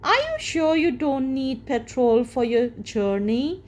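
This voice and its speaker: A woman's voice: high-pitched, animated, takes it slowly, darkish tone. A woman voicing a friendly, helpful old male cartoon dog who runs a garage, cheery and eager, in a storybook reading.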